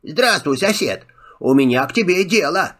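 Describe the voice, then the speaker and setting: A man voicing a sly, chatty little male rabbit trickster in a filmstrip audio drama, talking in a wheedling, flattering way. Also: on the high side, moderate pace. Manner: wheedling, flattering, sing-song.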